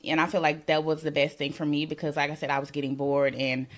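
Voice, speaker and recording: A woman with a notably low-pitched voice talks in a relaxed, conversational way. She reflects out loud, filling pauses with "you know" and "um" as she shares her experiences as a working mother. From Mississippi. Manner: reflective, thinks aloud with many "you know"s. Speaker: podcast guest, working mother. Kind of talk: casual conversation.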